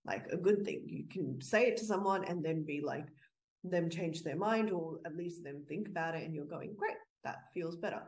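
A woman's voice: on the low side, normal speed, darkish tone, poor audio. A woman co-hosting a casual podcast, recounting things she has seen in a chatty way, with plenty of "like" and "you know". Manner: chatty storytelling with fillers. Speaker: podcast co-host. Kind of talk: casual discussion.

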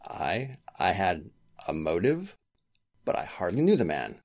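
A man's voice: sits low, wildly swooping pitch, measured pace, a little mellow, full character voice. A man playing a scheming, formal lawyer with a killer's intensity in a 1940s-style radio drama. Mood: shocked indignation. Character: scheming, smooth-talking male lawyer turned desperate killer. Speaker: voice actor.

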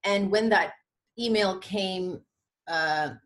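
A woman's voice: mid-range voice, takes it slowly. Hesitant woman guest from Ethiopia. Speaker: podcast guest, literary editor and prize judge. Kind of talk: casual interview chat.

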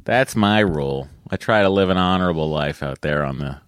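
A man in his thirties co-hosting a podcast, deadpan and jokey in casual chat, with a sore mouth that hurts to talk. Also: a bass voice, fairly quick, dry recording, clean studio sound. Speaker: podcast co-host.

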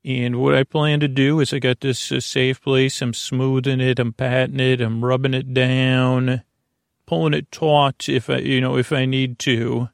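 A man hosting a sleep podcast in an informal, meandering, gently reassuring monologue, hesitating and trailing off mid-thought. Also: medium pitch, little pitch movement, measured pace, vocal fry, dry recording, clean studio sound. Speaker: podcast host.

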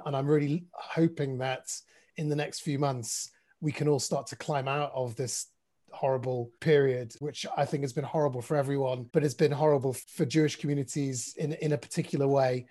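A British man talks as an interview guest in a mellow, soft-edged voice at a middling pitch. He speaks casually and reflectively, often repeating words as he feels his way through a thought. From Manchester, England.

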